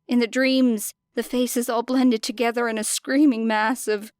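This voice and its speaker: A woman's voice: on the high side, level tone, unhurried, a little mellow, no echo. A woman reading scripted fiction as an audiobook narrator, with dramatic delivery.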